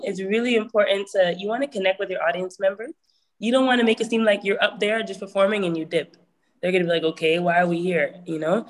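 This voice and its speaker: A woman speaking casually as a musician sharing practical tips. She talks in a loose, chatty way, filling her sentences with "you know" and "like". Her pitch sits in an ordinary middle range. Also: rough recording. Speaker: musician giving advice. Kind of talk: casual advice talk.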